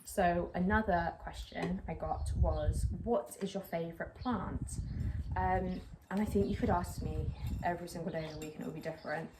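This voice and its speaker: A woman's voice: lowish voice, steady tone, normal speed. A young woman chatting to the camera while repotting a plant outdoors, rambling with lots of fillers. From England. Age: young adult. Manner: rambling, filler-heavy, self-conscious. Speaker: plant vlogger. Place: outdoors on a patio by a brick wall, a little windy. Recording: clear outdoor noise behind.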